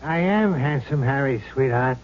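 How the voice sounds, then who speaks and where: A man's voice: mid-range voice, animated, normal speed, natural voice. A man playing the cocky, vengeful ghost of a gangster gambler, tough and slangy, in an old-time radio drama. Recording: dull 1970s radio recording, hiss.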